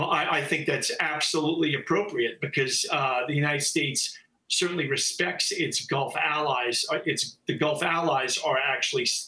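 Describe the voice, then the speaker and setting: A man, a former intelligence officer, giving measured analysis with some hesitations in a live news interview. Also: mid-range voice, bright, forceful tone, reverberant, poor audio.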